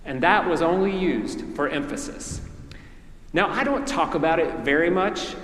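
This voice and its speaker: A man from Mississippi with a Southern accent, preaching a sermon as a priest in a measured way with deliberate pauses. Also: fairly high pitch, animated, fairly bright tone. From Mississippi, USA. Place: large church. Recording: echoey church hall.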